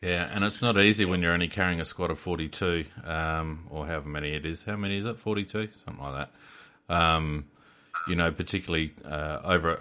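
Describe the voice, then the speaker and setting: A deep-voiced Australian man chats about football, relaxed and unhurried, often pausing on fillers as he gathers his thoughts. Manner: relaxed, hesitant, many fillers. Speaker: podcast co-host. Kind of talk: casual chat about football.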